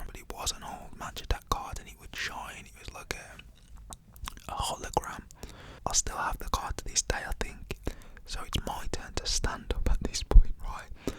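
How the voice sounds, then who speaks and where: A young man, whispering a rambling personal story to the camera with lots of "like" fillers. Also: rough recording. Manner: whispers throughout. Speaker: storytelling vlogger.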